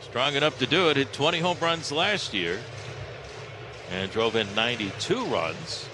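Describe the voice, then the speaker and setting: An American man works as a baseball play-by-play announcer on the radio. His voice sits in a middle register as he narrates the game live. Clear background noise from the ballpark runs under the whole broadcast. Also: mid-range voice, lively intonation, unhurried.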